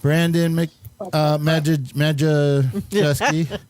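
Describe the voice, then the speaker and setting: A man hosting a casual talk show, introducing guests in a halting, chatty way. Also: fairly high pitch, darkish tone. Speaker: show host.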